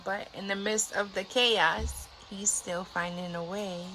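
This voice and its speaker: A woman in her thirties, sleepy and a little raspy, chatting casually in a family vlog from inside an RV. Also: mid-range voice, a little slow, faint music behind, poor audio. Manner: chatty, relaxed, laughs often. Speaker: family vlogger. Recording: loud background noise.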